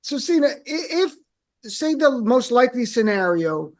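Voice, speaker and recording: A man with a notably high voice for his sex hosts a conversational radio interview. He talks in a chatty, wry way, building long setup questions before he hands over to his guest. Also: big pitch swings, normal speed. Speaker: radio talk show host.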